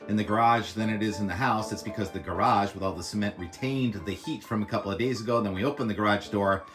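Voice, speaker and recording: Loud, low-voiced New man. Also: fast, over music. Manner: rambling, chatty, filler-heavy. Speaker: weather vlogger. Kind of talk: casual outdoor weather chat. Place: outdoors by the water in the morning. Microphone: camera mic, no lavalier.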